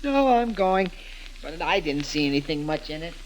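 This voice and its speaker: An actor playing a befuddled, grumbling young husband in a 1930s radio comedy, speaking hesitantly and mockingly. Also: male voice, on the high side, very animated, moderate pace, a little hiss or hum, rough recording. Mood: hesitant, puzzled. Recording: vintage 1930s radio broadcast.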